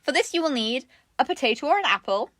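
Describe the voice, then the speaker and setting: A woman's voice: sits high, big pitch swings, fast, fairly bright tone, no echo. A woman hosting a casual comedic craft chat, giggly and playfully mocking as she talks. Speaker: craft show host.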